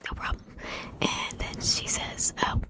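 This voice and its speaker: A woman whispering an exasperated storytime while chatting with live viewers as an ASMR host. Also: a little slow. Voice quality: breathy whisper.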